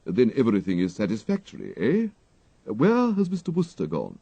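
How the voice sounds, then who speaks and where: A man voicing a deferential, unflappable English valet in a storybook, speaking in formal, ornate, measured phrases. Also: fairly low pitch, huge pitch swings, creaky, warm tone, husky, dry recording, pitched above the performer's own voice, heavily acted. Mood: courteous. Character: dignified English gentleman's valet, deferential, unflappable, dryly clever.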